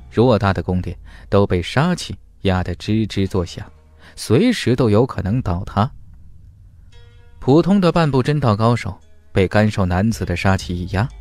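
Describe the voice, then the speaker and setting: Male storyteller for fantasy audiobook: dramatic, expressive delivery, close dry sound over a music bed. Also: lowish voice, no echo. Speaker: audiobook narrator. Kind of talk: dramatic scripted fantasy narration.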